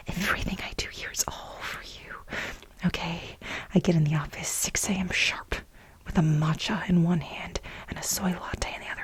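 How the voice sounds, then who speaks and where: A woman playing a frantic, aggrieved overworked bioengineer in a soft-spoken ASMR role play, with breathy whispering and halting, repeated words for dramatic stress. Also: on the low side. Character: frantic, aggrieved overworked female bioengineer pleading with her boss.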